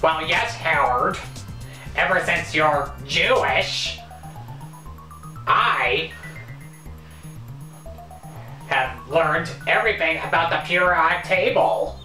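Someone putting on an eager nerdy guy, boastful, bright voice, roomy echo, homemade story. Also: medium pitch, expressive, slow, far from the mic, faint music behind, voice pushed up. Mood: earnest. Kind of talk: amateur fan-fiction story reading.

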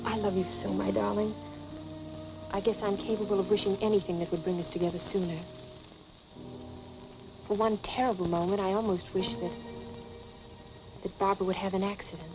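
Actress as a coy 1940s film temptress, muffled soundtrack. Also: female voice, mid-range voice, fairly even intonation, a little fast, pauses often, music in the background, close to an everyday voice. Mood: coy, pleading. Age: young adult. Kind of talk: 1940s film melodrama acting.

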